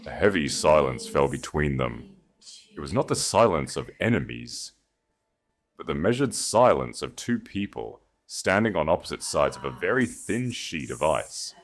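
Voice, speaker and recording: A man narrating dramatic fiction from a script in a measured, solemn manner. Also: rumbling low, very animated. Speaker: audiobook narrator.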